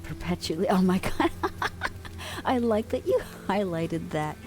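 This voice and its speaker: A young adult woman streamer chatting softly with her viewers, drifting in and out of whispers, relaxed and affectionate. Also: fairly low pitch, slow pace, faint music behind. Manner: relaxed, affectionate, reads and answers chat; drifts between soft talk and whispers. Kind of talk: soft-spoken ASMR chat with viewers.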